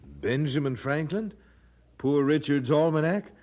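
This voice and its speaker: An actor playing an earnest English preacher in a radio drama, speaking formally and with measured courtesy. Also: male voice, a little high, darkish tone. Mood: courteous. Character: earnest, grave English preacher, travelling chaplain, man.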